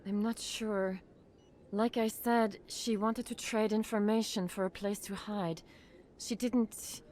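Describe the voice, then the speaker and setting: An actress playing an anxious but cooperative doctor in a video game, speaking earnestly. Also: female voice, mid-range voice, steady tone, takes it slowly, darkish tone, a little hiss or hum, lightly acted. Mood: earnest, uneasy.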